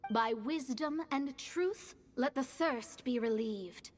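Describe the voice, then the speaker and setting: A woman voicing a proud, thrifty young astrologer mage in a video game, brisk, theatrical and self-assured. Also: high voice, soft background music. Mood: solemn incantation.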